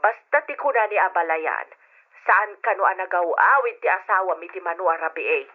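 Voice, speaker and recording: A woman with a Filipino accent playing a concerned older mother in a radio drama, questioning and gently reproving. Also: lowish voice, expressive, brisk. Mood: troubled. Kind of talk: old-fashioned Ilocano radio drama acting. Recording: dull lo-fi old radio drama.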